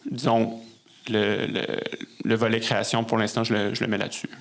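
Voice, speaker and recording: A man with a Québécois French accent, speaking as a guest in a casual interview, often halting to search for words. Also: on the low side, flat delivery. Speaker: podcast guest, musician and conductor.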